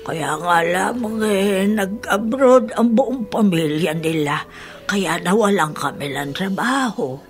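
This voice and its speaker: Actress as a slow-talking kindly older Filipina woman. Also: mid-range voice, faint music behind, close to an everyday voice. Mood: earnest storytelling. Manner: hesitant, folksy, storytelling with drawn-out interjections. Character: talkative older kindly working woman, candle vendor. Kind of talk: Filipino radio drama acting.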